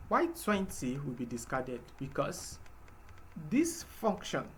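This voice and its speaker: A man's voice: fairly high pitch, huge pitch swings, slow pace, a bit bright, slight background noise. A man teaching a live programming class, explaining patiently step by step with fillers. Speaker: programming instructor.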